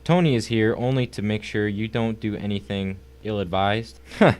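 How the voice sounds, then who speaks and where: An actor playing a smug, gloating rich crime boss in an audio drama, speaking with formal, mocking courtesy. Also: male voice, fairly low pitch, fairly even intonation, normal speed, a little mellow, smooth, natural voice.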